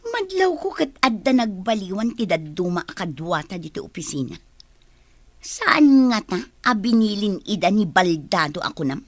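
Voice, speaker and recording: A woman's voice: mid-range voice, big pitch swings, normal speed, no room sound. A woman with an Ilocano accent acting in a radio drama as a scheming, irritable office villain. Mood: menacing.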